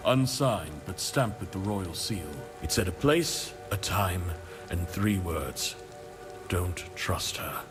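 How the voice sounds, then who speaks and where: An actor playing a burdened, diplomatic male leader in a fantasy game, speaking in a measured, formal and solemn way. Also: deep voice, sing-song, long reverb tail, music in the background, exaggerated voice. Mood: earnest, authoritative. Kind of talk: fantasy video game dialogue.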